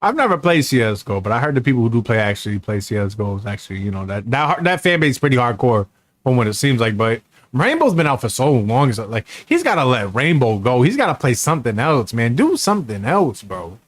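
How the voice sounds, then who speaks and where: A man's voice: mid-range voice, sing-song, quick pace, no room sound. A man in his thirties riffing over a video he's watching, his voice bouncing up and down as he teases and jokes in easygoing slang. It sounds close and dry, with no room around it. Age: 30s. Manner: mocking, laid-back banter with slang. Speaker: streamer reacting to a video. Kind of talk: casual reaction commentary.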